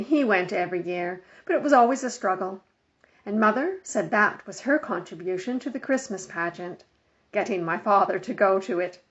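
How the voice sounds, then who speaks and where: Woman reading a children's book, slightly muffled. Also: medium pitch. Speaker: storybook reader. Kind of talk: expressive scripted children's book narration. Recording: dull lo-fi home recording.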